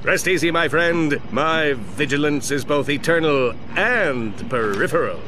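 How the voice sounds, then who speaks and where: A man's voice: on the high side, lively intonation, unhurried, long reverb tail, noisy background. An actor playing a pompous, cowardly male space superhero in a video game, with a grandiose, overdramatic mock-heroic delivery. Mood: boastful. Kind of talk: animated game cutscene acting, mock-heroic.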